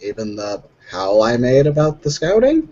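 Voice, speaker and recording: A man improvising in character in a tabletop roleplaying game, measured and pausing between short phrases, on dull lo-fi audio. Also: mid-range voice, wildly swooping pitch, mellow. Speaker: tabletop role-player.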